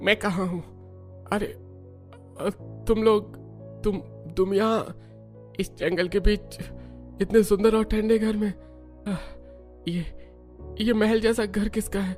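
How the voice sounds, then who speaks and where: Male actor as wounded man: dazed, halting, groaning, astonished story delivery with faint music. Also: sits high, steady tone. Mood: dazed, amazed. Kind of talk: dramatized Hindi audio story acting.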